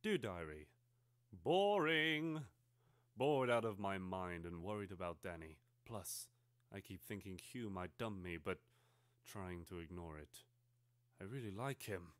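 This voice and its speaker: A man's voice: sits low, expressive, a little slow, no echo. Man acting out a melodramatic schoolgirl diarist. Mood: moody, worried. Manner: overwrought, swinging from hushed to exclaiming. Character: melodramatic twelve-year-old schoolgirl confiding in her diary. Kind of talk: theatrical dramatic reading of a teenage diary.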